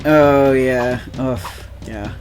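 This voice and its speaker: A man's voice: medium pitch, steady tone, very slow, darkish tone, soft background music. A man with a nasal voice chatting casually while playing a game, rambling with lots of fillers and laughs. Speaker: let's play co-host. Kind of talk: casual chat over gameplay.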